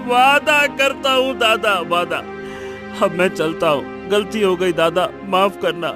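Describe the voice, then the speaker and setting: A woman's voice: medium pitch, slow, over music, lightly acted. A woman voicing a guilty male wrongdoer in a cartoon moral story, whining and repeatedly begging forgiveness. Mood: remorseful, pleading. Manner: whining, repetitive pleading.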